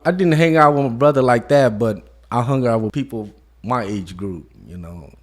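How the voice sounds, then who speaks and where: A man in his thirties with a mid-range voice speaks as an interview guest. His delivery is soft-spoken and reflective, and he falls back often on "you know" as he gathers his thoughts. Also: mid-range voice, normal speed. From Modesto, California. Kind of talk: casual conversation.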